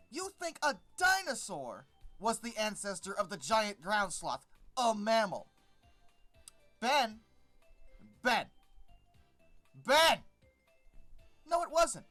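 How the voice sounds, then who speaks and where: A woman gives casual, unscripted commentary as a video host, her pitch swinging widely as she talks. She is emphatic and sarcastic, rambling and repeating words to drive a point home. Soft music plays faintly behind her.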